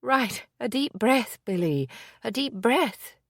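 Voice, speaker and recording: A woman voicing a determined woman private investigator, speaking in a measured, formal, resolute way. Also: on the high side, expressive, no echo, studio quality, voice pushed up, exaggerated voice. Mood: alarmed.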